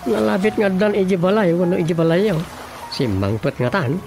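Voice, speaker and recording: A man's voice: high voice, a little fast, gravelly, darkish tone. An actor playing a chatty, teasing village man with an Ilocano accent in a radio comedy-drama. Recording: prominent music under the dialogue.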